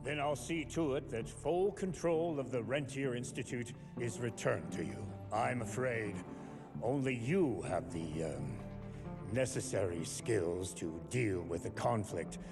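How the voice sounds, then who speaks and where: An actor playing a stately, fatherly American president in a video game, formal, measured and courteous. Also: medium pitch, lightly acted. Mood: gracious. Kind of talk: video game cutscene acting. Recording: loud music underneath.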